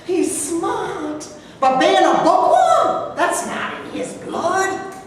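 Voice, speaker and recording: A woman's voice: extremely high pitch, big pitch swings, takes it slowly, exaggerated voice. A woman reading the part of a poor, defiant mother who fights for her son in a staged play reading. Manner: combative, pleading, emotional. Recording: echoey hall from far off, faint noise.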